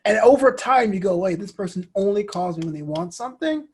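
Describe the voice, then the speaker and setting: A man with a husky voice talking casually as an interview guest, telling things in an animated, informal way. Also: high-pitched, a little fast.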